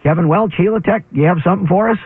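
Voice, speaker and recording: A man's voice: sits high, rapid-fire, slightly rough, dry recording. A man hosting a home improvement call-in radio show, upbeat and jokey. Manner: upbeat, joking banter. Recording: tinny phone-like radio audio.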